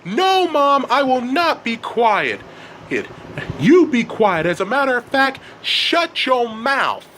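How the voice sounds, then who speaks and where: A man's voice: a little high, little pitch movement, drawn-out words, pauses often, fairly bright tone, slight background noise. A man voicing an angry, defiant schoolboy kickboxer in a story, indignant and insistent, repeating his phrases. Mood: furious, defiant.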